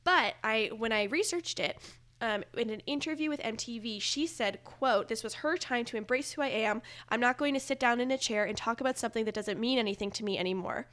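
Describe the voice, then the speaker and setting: Higher-pitched woman chatting casually. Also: a little fast, a bit bright. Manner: conversational, heavy use of "like". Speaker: podcast guest presenting ranked music video picks. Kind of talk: casual chat about pop music.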